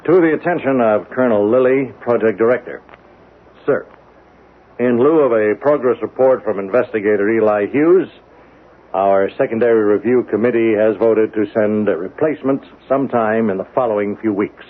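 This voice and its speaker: Radio drama: male actor as businesslike military commander, official dictation, slightly low, dull vintage sound. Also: natural voice. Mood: formal, businesslike. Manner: official, measured. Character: brisk, formal male army general dictating. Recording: muffled old radio recording.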